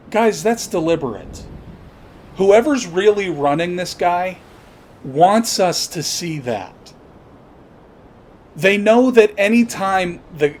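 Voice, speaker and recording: A man with a slightly high voice delivers an unscripted political rant as a podcast host. He is blunt and sweary, halting and then repeating phrases for emphasis. The recording has faint noise and rumble behind him. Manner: blunt, profane.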